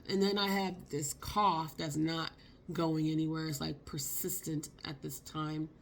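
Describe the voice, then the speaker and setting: A woman, hoarse from a cold, telling a casual, slangy story for her vlog. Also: low-pitched, slow pace, slight background noise. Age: 50s. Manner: chatty, expressive, slangy. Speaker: vlogger.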